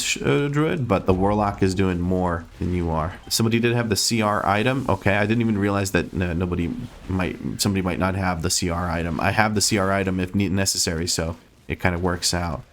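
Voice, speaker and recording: A man giving rambling, self-correcting play-by-play commentary on his gameplay, a little hoarse as he is losing his voice. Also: sits low, slight background noise. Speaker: game streamer.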